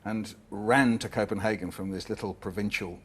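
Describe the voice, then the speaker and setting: A man's voice: fairly low pitch, fairly even intonation, normal speed, slightly rough. A man hosting a book discussion, presenting and putting questions to guests, with faint background noise. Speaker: host.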